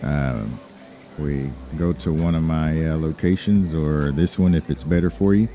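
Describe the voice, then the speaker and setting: A man playing a polite, businesslike lawyer and crime boss in an online game roleplay, talking casually in a courteous, hesitant, unhurried way. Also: extremely low pitch, warm tone. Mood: calm, helpful. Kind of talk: improvised in-character roleplay chat.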